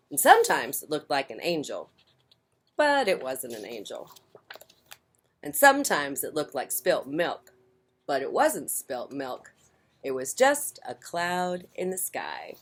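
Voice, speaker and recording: Low-voiced woman, very animated storyteller. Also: slightly rough. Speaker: children's storyteller. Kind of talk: animated picture-book read-aloud with casual asides.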